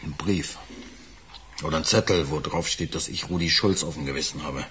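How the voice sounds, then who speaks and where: Deep-voiced, bitter murder suspect. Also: male voice, moderate pace, fairly bright tone, a little hiss or hum. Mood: uneasy, confiding. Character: troubled married working man under murder suspicion, defensive and bitter. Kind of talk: German radio crime drama acting.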